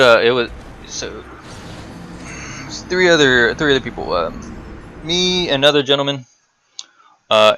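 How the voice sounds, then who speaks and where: A man's voice: on the low side, pushed and bright, rough recording. A man giving casual storytime commentary while playing a game, rambling, correcting himself and muttering swears at the game. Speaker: gaming video host telling stories while playing.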